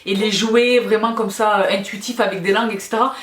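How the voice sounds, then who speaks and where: A woman's voice: mid-range voice, fairly even intonation, brisk. A woman chatting casually and affectionately to her followers as a video host, emphatic and given to repeating her phrases. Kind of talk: casual question-and-answer chat to followers. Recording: echoey, roomy sound.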